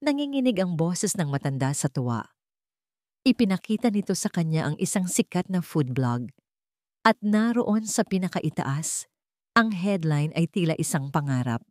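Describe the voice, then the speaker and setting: A woman's voice: lowish voice, big pitch swings, moderate pace, darkish tone, no room sound, clean studio sound. A woman reading a scripted, dramatic story as narrator.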